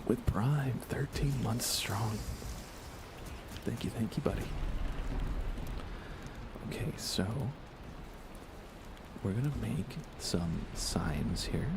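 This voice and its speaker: A man speaking softly and gently in an unhurried ASMR style while chatting on a live gaming stream, his voice hushed and at times close to a whisper. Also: fairly low pitch, animated, creaky, background noise. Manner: gentle, unhurried, thinking aloud. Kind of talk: soft-spoken ASMR gaming stream chat.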